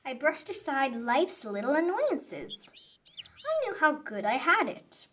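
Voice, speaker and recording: A woman's voice: high-pitched, wildly swooping pitch, slow, a bit bright, a little hiss or hum. A woman reading a children's picture book aloud in a theatrical, emphatic narrating style. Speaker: children's story reader. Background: music.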